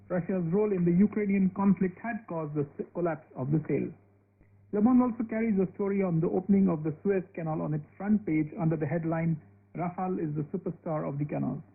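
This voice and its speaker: High male voice, scripted newspaper review, thin shortwave radio sound. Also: fast talker, vocal fry. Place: radio studio. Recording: phone-like shortwave radio, faint noise.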